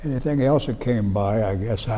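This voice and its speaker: A man in his nineties from Ohio, recalling his youth in a slow, halting way with many restarts. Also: lowish voice, mellow, in a large hall. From Ohio, USA. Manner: halting, plain-spoken, frequent restarts and fillers. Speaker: veteran interviewee. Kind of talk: oral history recollection. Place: library room.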